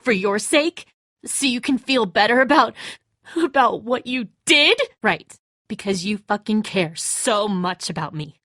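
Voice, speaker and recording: An actress playing a bitter, distraught, hot-tempered grown daughter in a dramatic video game, raw and confrontational. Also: female voice, medium pitch, expressive, measured pace, fairly bright tone, dry recording, studio quality. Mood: bitter anger. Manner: raw, emotional, confrontational, sweary.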